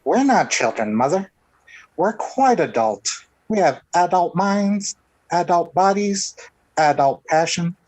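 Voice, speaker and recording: A man's voice: high-pitched, animated, slow, a little mellow, heavily acted, low-quality recording. An American male actor playing an earnest, defiant, lovestruck eighteen-year-old in a 1940s radio comedy. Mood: earnestly defiant. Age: young adult. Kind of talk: 1940s radio sitcom acting.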